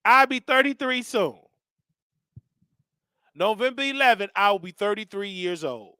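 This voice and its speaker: A man in his thirties hosting a casual livestream, ranting in loud, repetitive bursts with sudden shouts. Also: high-pitched, measured pace, clear, resonant tone, dry recording, studio quality. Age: 30s. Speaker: show host.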